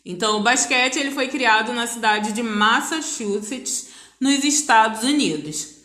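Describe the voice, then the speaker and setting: Slow, high-voiced Brazilian woman teaching. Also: bright, forceful tone. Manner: didactic, repeats key points for emphasis. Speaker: physical education teacher. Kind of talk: school lesson for students. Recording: echoey, roomy sound.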